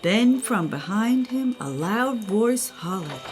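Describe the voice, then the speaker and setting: A woman's voice: mid-range voice, animated, slow, noisy background. An older woman, a grandmother, narrating a children's story.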